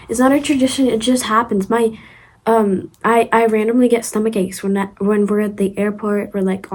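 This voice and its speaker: A young woman with a Ukrainian accent talks straight to her viewers in a loose storytime vlog. She rambles and restarts often, with lots of "um" and "like" and giggly asides. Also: fairly high pitch. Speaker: vlogger.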